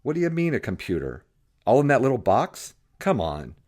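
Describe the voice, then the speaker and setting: A man's voice: mid-range voice, huge pitch swings, normal speed, darkish tone, pitched above the performer's own voice. A man voicing a skeptical, terse computer shop technician with a blunt, colloquial manner in an audiobook. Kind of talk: audiobook character voice.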